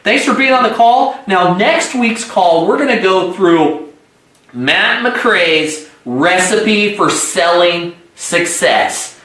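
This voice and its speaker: Higher-voiced man coaching sales, echoey room. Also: animated, slow pace, pushed and bright. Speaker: sales trainer hosting an agent conference call. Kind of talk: instructional sales coaching talk.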